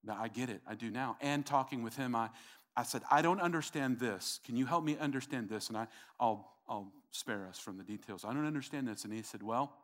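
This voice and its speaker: A man's voice: medium pitch, brisk. Earnest male pastor preaching. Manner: earnest, repeats himself, talks to the congregation. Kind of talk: sermon.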